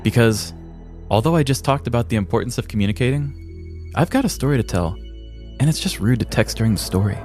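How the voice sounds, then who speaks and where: A man hosting a podcast, telling a comic story in a wry, deadpan scripted narration. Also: mid-range voice, fairly quick, faint music behind.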